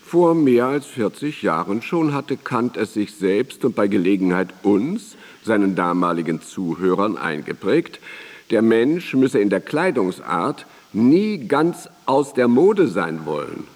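An actor playing a reverent former pupil and biographer in a radio drama, reminiscing in measured, emphatic, formal phrases. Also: male voice, on the low side, very animated, a little mellow, long reverb tail. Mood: reverent, fond.

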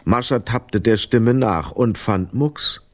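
A man's voice: mid-range voice, slow pace. A man narrating a scripted children's story as the narrator of a radio play.

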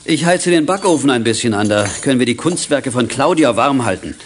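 A mid-pitched man's voice, played by an actor, measured and practical. He is the cautious adult in a children's radio play, and faint noise sits behind him. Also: animated, close to an everyday voice. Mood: calm, practical. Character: sensible, cautious adult man minding young detectives. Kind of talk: 1980s German children's audio drama acting.

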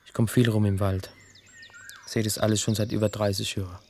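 A man playing a stubborn middle-aged forest local in a radio play, muttering in blunt, halting fragments. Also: lowish voice, level tone, darkish tone. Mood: brooding, insistent. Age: middle-aged or older. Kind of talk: naturalistic radio play acting. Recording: outdoor birdsong, faint background noise.

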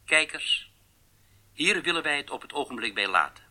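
A pompous state TV interviewer in a radio play. The man's voice is very bright and sharp, swinging widely in pitch as he makes formal, declamatory pronouncements. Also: big pitch swings, normal speed, exaggerated voice. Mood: triumphant, solemn. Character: pompous state television interviewer denouncing captured spies. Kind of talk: radio drama acting.

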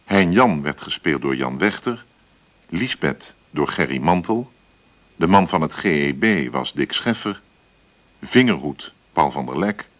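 A man's voice: rumbling low, lively intonation, a little slow. A man announcing as a radio presenter, reading scripted credits in a formal way.

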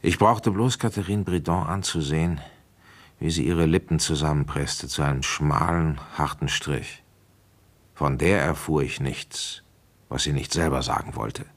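An actor playing a middle-aged village police inspector in a German radio play, measured and matter-of-fact. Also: male voice, rumbling low, heavily acted. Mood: sober, reflective. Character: seasoned male village police inspector telling his case.

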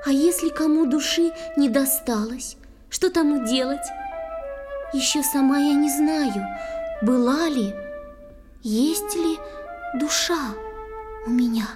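A young female water spirit in a children's audio play. She has a high voice and a childlike, capricious, soulful temper. Her verse comes out tender and plaintive, with music clearly playing behind her. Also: slow pace, pauses often. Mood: playful, wondering. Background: music with flute.

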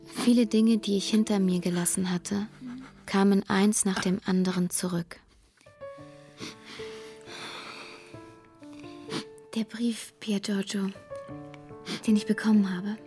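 An actress playing a gentle, sorrowful young woman who narrates a radio drama in a hushed, restrained way. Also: mid-range voice, fairly even intonation, moderate pace, soft background music, lightly acted. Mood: tender, sad. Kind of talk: scripted first-person radio drama narration.

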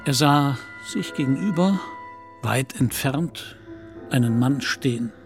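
Male narrator for literary radio drama, mid-pitched with plenty of creak, music underneath. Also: animated, takes it slowly. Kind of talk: scripted literary narration in a German radio play. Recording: music behind.

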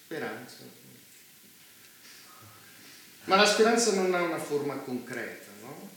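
A man's voice: a little high, big pitch swings, normal speed, sharp tone, heavily acted. Male, cold, menacing ruler, evasive and philosophizing; stage drama in a very reverberant room, faint noise. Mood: musing, provocative. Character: cynical, menacing male leader who unleashed a war.

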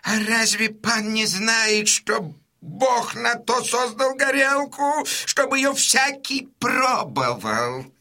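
A nervy scheming innkeeper in a radio play, high sharp voice, drags his words, kinda wailing and pleading. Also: expressive, exaggerated voice. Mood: agitated, protesting. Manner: wailing exclamations, drawn-out words, fussy hesitations. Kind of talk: full-cast audiobook dramatisation.

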